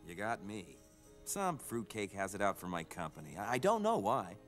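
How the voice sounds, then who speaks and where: A callous animated corporate boss. He is slick and self-important, his lowish voice swinging widely in pitch, with faint music underneath. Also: normal speed. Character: smug, callous, glad-handing male corporate boss. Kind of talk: animated series drama acting.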